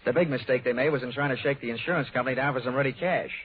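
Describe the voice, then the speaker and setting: An actor playing a wisecracking, tough American insurance investigator in a 1940s radio detective drama, speaking brisk and wry. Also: male voice, medium pitch, natural voice. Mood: accusing. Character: wisecracking hard-boiled insurance investigator man. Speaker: lead actor and narrator. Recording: tinny 1940s radio recording, music.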